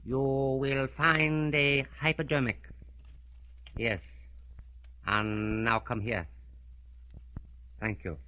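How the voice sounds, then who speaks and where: A criminal mastermind of old radio drama, with a sharp, forceful voice. He is unhurried and precise, wrapping every command in cold, formal courtesy. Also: medium pitch, steady tone. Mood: politely menacing. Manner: formal, precise, menacingly polite. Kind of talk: 1940s radio acting.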